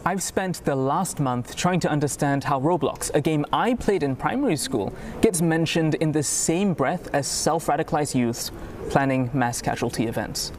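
A man's voice: fairly high pitch, moderate pace, a little hiss or hum. A young man reporter talking about his own inquiry in a reflective, personal way.

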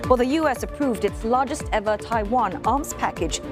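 Woman, news anchor, crisp headlines, higher pitch, clear music underneath. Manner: crisp, brisk anchor delivery.

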